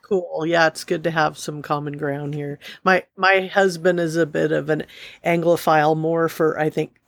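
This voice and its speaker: A woman's voice: low-pitched, flat delivery, moderate pace, gravelly, no room sound, studio quality. A woman hosting a podcast, chatting loosely with repeated words. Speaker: host. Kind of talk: casual podcast chat.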